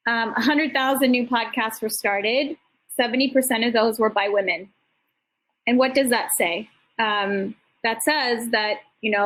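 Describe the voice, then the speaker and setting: A middle-aged woman with a slightly high voice talks as a panel host. Her delivery is informal and conversational, full of encouragement. She rambles effusively, moving between personal anecdotes and motivational appeals. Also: a bit bright, poor audio. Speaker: host and founder speaking at an online summit panel.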